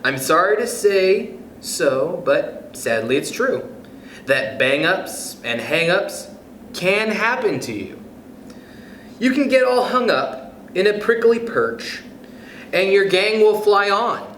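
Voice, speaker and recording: A young man reading a picture book aloud to children, gentle and animated, with soft chuckles and friendly asides. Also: sits high, slow, echoey room, slight background noise, low-quality recording. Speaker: youth club storyteller.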